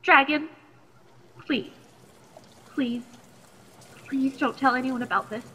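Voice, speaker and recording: A woman's voice: high voice, steady tone, slow, bright, forceful tone, slightly rough, exaggerated voice. A woman playing a plucky, nervous, chatty teenage captive in a radio play, stammering politely in a reverberant recording. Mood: excited, desperate. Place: video call performance. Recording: long echoing reverb, faint noise.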